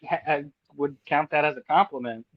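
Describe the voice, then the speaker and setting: Blunt, sardonic male co-host, crude banter, slightly high voice, dull lo-fi mic. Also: fairly quick, no room sound. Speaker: podcast co-host. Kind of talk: casual profane podcast banter.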